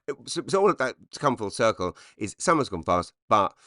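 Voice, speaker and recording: A man in his forties with a slightly croaky voice, rambling self-deprecatingly through a comedic solo podcast monologue. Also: mid-range voice, big pitch swings, a little fast, bright, forceful tone, dry recording, clean studio sound. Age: 40s. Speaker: podcast host, stand-up comedian.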